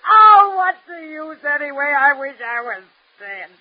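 High-pitched, overwrought young factory girl. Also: very animated, measured pace. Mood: anguished, tearful. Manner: overwrought, ranting, repeats herself. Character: bitter, self-loathing young factory worker, a daughter. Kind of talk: melodramatic radio drama acting. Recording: muffled, dull old radio drama recording.

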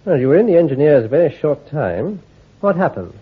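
An actor playing a brisk senior army intelligence officer, crisp and drily ironic. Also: male voice, fairly high pitch, big pitch swings. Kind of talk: radio drama acting. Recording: tinny phone-like radio recording.

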